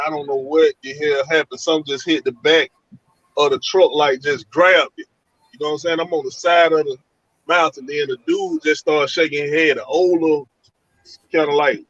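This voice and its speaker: A man from Georgia telling of a frightening night encounter over a call from his van, in animated, colloquial speech. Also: on the high side, no room sound, muffled recording. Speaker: guest telling an encounter story. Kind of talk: casual storytelling over a call.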